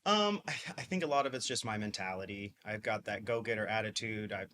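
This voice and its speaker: Young man, twenties, podcast guest, upbeat and rambling, lowish voice. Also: brisk, pushed and bright. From Mississippi. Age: 20s. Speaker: guest, dispensary owner. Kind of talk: casual interview talk.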